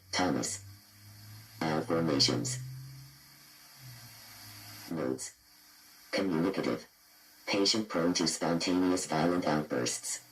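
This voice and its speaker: A man's voice: sits low, low-quality recording. A man voicing a cold, clinical computer system that reads out horror patient files in clipped, impassive fragments. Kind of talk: scripted horror database readout.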